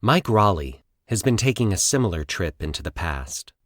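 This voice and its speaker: A man from Colorado with an American accent, narrating a scripted short story in a measured, literary way. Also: low-pitched, very animated, a bit bright, dry recording, clean studio sound. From Colorado, United States. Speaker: fiction podcast narrator.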